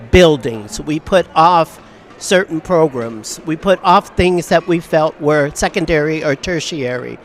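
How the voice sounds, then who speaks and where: Older woman, professor type, earnest and measured, repeats key phrases, recorded outdoors. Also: sits low, a little hiss or hum. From Southern California. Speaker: professor interviewed on a strike. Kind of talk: outdoor news interview. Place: outdoors on a university campus.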